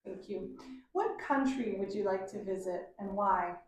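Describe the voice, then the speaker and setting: A woman acting as an English exam examiner, asking clear, deliberate questions to students. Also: medium pitch. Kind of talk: mock speaking exam questions. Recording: echoey room, mic at a distance.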